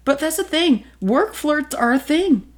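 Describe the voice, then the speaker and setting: A woman's voice: high-pitched, animated, unhurried. A woman chatting casually, swearing a lot, rambling with fillers and giggling often. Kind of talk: casual chat.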